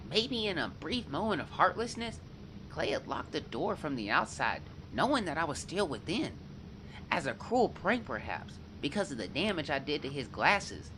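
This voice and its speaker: A woman's voice: fairly low pitch, noisy background. A woman playing a frightened child who recounts an ordeal in an audio drama, with emotive, dramatic storytelling. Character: frightened young Cain, a child telling a past ordeal. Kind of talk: dramatic first-person audio drama narration.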